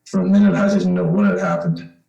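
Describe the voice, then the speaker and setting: A man's voice: high-pitched, sing-song, fast talker, creaky, dark tone, dull audio, exaggerated voice. A man playing an earnest, hesitant young man in an old-time radio suspense play, with boyish exclamations. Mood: dazed, anxious. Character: earnest boyish young man who trapped his would-be killer. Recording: roomy, echoing amateur radio play.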